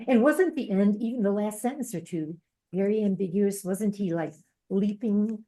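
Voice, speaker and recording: A woman's voice: mid-range voice, normal speed, warm tone. An older woman talking in a casual book club discussion in a rambling, reflective way.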